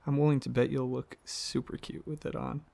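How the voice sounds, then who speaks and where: A man playing an affectionate, excitable dragon boyfriend in an ASMR roleplay, talking casually and a little haltingly, with a gravelly voice. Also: medium pitch. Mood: playful, affectionate. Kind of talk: ASMR roleplay, softly addressing the listener as partner.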